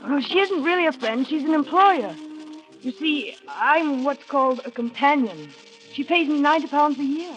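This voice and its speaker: An actress in a 1940s radio drama playing a shy nineteen-year-old companion, soft-spoken and wistful, narrating her memories. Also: high-pitched, lively intonation, moderate pace. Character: shy young woman of nineteen, paid companion. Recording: dull old radio recording with music.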